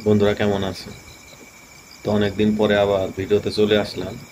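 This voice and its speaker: Low voiced guy rambling thru a ghost story, crickets + noise behind. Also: completely flat delivery, normal speed, a little mellow. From Tangail, Bangladesh. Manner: rambling, many fillers and restarts. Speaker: channel host. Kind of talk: casual storytelling.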